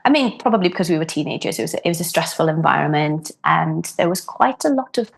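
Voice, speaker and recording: Low-voiced woman, reflective. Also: animated, fast, gravelly. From Wales. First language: Welsh. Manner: thoughtful, frequent ums and restarts. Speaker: guest harpist. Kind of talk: reflective podcast interview.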